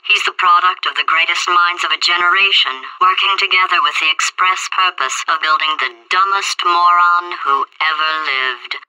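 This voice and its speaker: A woman voicing a sarcastic female computer AI stuck in a potato, with a robotic processed voice and deadpan insults. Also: lowish voice, moderate pace, hardly any pauses, low-quality recording. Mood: agitated. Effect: robotic synthetic processing. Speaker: video game character. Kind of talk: game voice acting.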